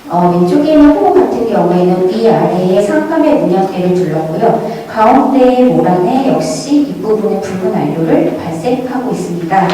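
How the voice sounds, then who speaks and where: A woman's voice: medium pitch, lively intonation, normal speed, few pauses, mellow. A woman giving a formal prepared academic presentation, recorded from a distance in a very echoey hall with faint noise. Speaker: academic presenter at a museum conference. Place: large echoing indoor hall at a conference.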